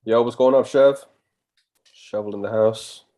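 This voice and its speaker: Low-voiced stammering stream co-host man. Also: fairly even intonation, measured pace, mellow. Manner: chatty, stammers and restarts, reads chat questions. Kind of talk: casual live stream chat.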